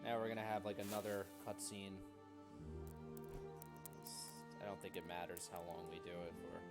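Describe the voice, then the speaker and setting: A man's voice: low voice, fairly even intonation, normal speed. A man doing casual, sarcastic let's-play commentary over a video game. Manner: joking, sarcastic, irreverent. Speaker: gamer doing a video playthrough. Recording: loud game music behind. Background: game music and audio.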